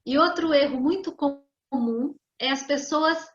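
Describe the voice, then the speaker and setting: A middle-aged woman, a psychologist, explaining calmly in an interview and often closing her points with a questioning tag. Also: sits high. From Brazil. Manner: measured, often ends points with a questioning "não é?". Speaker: psychologist guest. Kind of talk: calm explanatory interview talk. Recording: dull roomy sound.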